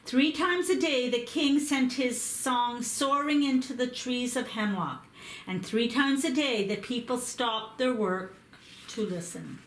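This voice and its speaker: A woman reading a picture book aloud, slow and careful in her storytelling. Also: fairly high pitch, a little hiss or hum. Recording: echoey room.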